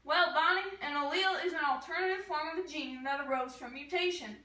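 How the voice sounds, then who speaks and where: High, bright young woman presenting, echoey. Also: fairly quick. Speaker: puppet show performer playing a TV host. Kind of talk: scripted educational show presenting. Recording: echoey room, distant mic.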